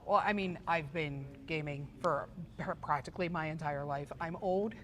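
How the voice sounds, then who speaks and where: Woman, 40s, from Pittsburgh, lowish voice, rambling and animated guest. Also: normal speed, slight background noise. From Pittsburgh, USA. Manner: chatty, many fillers and restarts, animated retelling. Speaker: guest, esports photographer and journalist. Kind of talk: casual interview chat.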